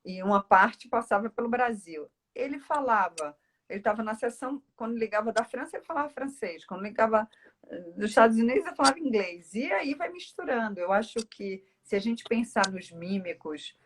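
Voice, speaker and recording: A woman's voice: a little high, animated, a little fast. A woman from Northeast Brazil with a Brazilian accent, talking at length in a casual, explanatory conversation as a speech therapist. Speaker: speech therapist, interview guest.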